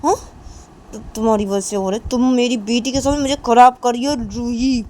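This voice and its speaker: A woman playing an angry, accusing father, protective of a daughter, scolding in halting, repetitive threats in an amateur story. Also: fairly high pitch, steady tone, warm tone, slight background noise, close to an everyday voice.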